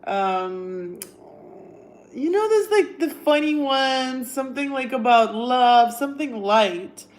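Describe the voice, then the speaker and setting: A woman's voice: high-pitched, animated, slow pace, low-quality recording. Chatty Russian-accented woman livestreaming. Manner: chatty, reads and answers viewer comments. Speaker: livestream host. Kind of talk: casual livestream chat.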